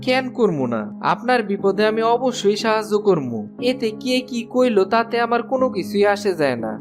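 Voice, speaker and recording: A kindly woodcutter shopkeeper, played by a man in a cartoon dub. The voice sits fairly high for a man, earnest and plain-spoken, and music plays clearly behind it. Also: very animated, fairly quick. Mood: compassionate. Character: humble kind-hearted male woodcutter shopkeeper. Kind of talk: cartoon dubbing. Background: background music.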